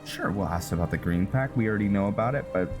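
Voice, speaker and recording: Low-voiced unhurried male gamer narrating play, game music and rain behind him. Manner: relaxed, musing aloud with frequent ums. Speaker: gamer playing a roleplay let's play. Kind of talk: casual gameplay commentary. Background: game music and rain sounds.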